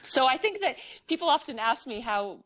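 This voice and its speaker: A woman's voice: sits high, sing-song, normal speed, a bit bright. A woman in her forties from Wales and western Canada, chatting casually as an interview guest with hesitant, stop-start phrasing. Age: 40s. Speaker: needlework artist, interview guest. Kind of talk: casual chat.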